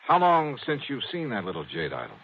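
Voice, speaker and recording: An American actor playing a shrewd, brisk male insurance investigator in a hardboiled radio drama, wry and clipped. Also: mid-range voice, huge pitch swings, gravelly, muffled recording. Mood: firm, probing. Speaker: lead actor and narrator. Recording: vintage radio broadcast, band-limited, music.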